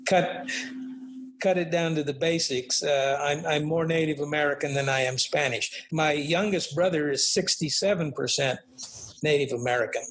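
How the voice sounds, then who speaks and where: A man's voice: on the high side, moderate pace, fairly bright tone, rough recording. An older man being interviewed as a guest, speaking reflectively with many fillers and tag questions. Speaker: guest, author. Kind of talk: conversational interview. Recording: faint background noise.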